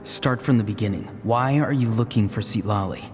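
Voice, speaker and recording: A man playing an earnest, determined young spellcaster in a video game, speaking deliberately. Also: on the low side, natural voice. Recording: long reverb, clear music behind.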